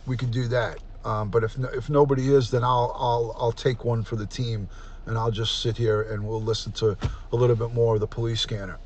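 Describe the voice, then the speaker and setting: A middle-aged man hosting a casual livestream, chatty and repetitive, often clearing his throat. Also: medium pitch, flat delivery, fairly quick, a little hiss or hum. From New York. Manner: chatty, repeats himself, frequent throat clearing. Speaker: livestream host, retired detective. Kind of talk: casual livestream chat, reading comments aloud.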